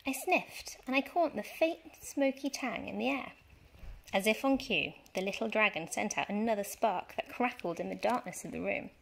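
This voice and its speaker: Woman, primary school teacher, storybook reading, expressive and dramatic delivery, medium pitch. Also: normal speed, gravelly, a little hiss or hum. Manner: animated, dramatic emphasis for young children.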